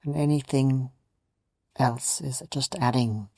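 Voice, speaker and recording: A meditation teacher gently leading a guided meditation in a soothing, unhurried way with long pauses. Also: medium pitch, fairly even intonation. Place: meditation retreat.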